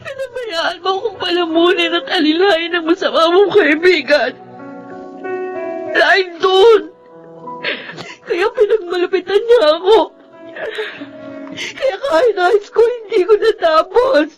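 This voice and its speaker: A woman's voice: extremely high pitch, animated, unhurried, faint music behind, rough recording. A woman playing a bitter, surly young housemaid and abandoned daughter in a Filipino melodrama, curt and accusing. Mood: tearful, accusing. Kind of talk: Filipino radio-style melodrama acting.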